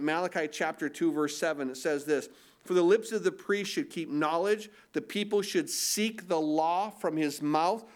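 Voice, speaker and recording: Nasal, high-pitched male pastor; casual preaching style that leans on questions to the congregation. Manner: conversational preaching with rhetorical questions. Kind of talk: sermon.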